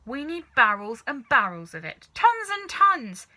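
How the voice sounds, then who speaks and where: A woman voicing a manic, excitable middle-aged farmer father in a children's storybook, exclaiming urgently with stretched-out words. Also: sits high, pitched above the performer's own voice, full character voice. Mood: exhilarated. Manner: exclaiming, urgent, swooping emphasis with drawn-out words. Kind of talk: children's storybook character reading.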